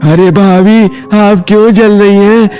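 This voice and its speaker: A man's voice: sits high, fairly even intonation, slow, warm tone, soft background music. A man voicing a cheeky, cheerful younger in-law in a Hindi moral story, breezy and teasing.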